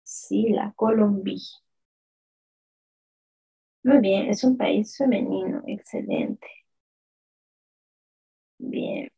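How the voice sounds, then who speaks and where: Female teacher, mellow and husky, slow deliberate delivery for a live class drill. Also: mid-range voice, rough recording. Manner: slow, drawn-out pronunciation drills; asks the chat questions and praises answers. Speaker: French teacher. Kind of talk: live online lesson.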